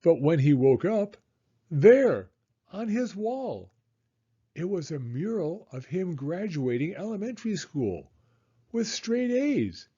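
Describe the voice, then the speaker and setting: A man reading a children's story aloud in a theatrical, suspenseful way with drawn-out emphasis. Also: sits high, big pitch swings, warm tone, slightly rough, dull audio.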